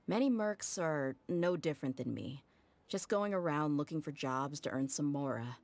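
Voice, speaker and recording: An actress playing a tough, blunt mercenary leader in a game cutscene, frank and guarded with casual slang. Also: female voice, sits low, lively intonation, normal speed, a little mellow.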